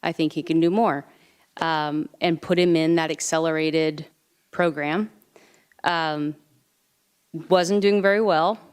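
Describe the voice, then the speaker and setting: A woman with a somewhat low voice speaks at a board meeting. She shares a personal parenting example in a hesitant, storytelling way. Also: steady tone, takes it slowly, pauses often, clean tone.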